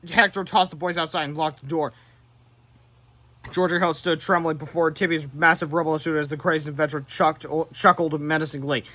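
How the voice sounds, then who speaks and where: A man narrating a children's book aloud in an animated way, stumbling and correcting himself now and then. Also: a little high, normal speed, no room sound. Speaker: storybook reader.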